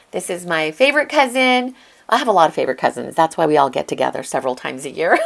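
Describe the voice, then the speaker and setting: An older woman narrating a casual flip-through of her craft journal, chatty and gushing, her voice slightly hoarse from a lingering illness. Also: mid-range voice. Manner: chatty and gushing, with occasional throat clearing.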